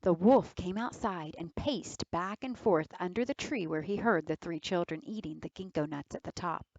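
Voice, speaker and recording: A woman storyteller reading aloud to children in a deliberate, dramatic narrating style. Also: lowish voice, darkish tone, no room sound, dull audio.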